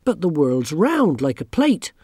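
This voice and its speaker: A woman voicing a plain, earnest young girl witch who speaks quietly and a little unsure of herself. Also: low-pitched, big pitch swings, unhurried, slightly rough, dry recording, studio quality, voice pushed up, full character voice.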